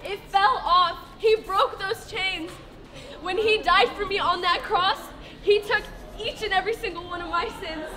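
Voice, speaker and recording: A woman acting an impassioned female disciple of Jesus in a live church stage play, with dramatic pauses. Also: very high-pitched. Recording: large hall with audience noise.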